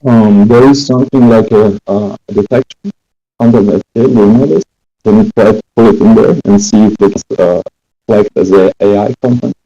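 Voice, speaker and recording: A man's voice: on the low side, moderate pace, dark tone, no echo. A man on a voice call, speaking hesitantly with many fillers through a distant mic. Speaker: participant on a voice call. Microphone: distant computer mic on a voice call. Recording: dull, muffled call audio.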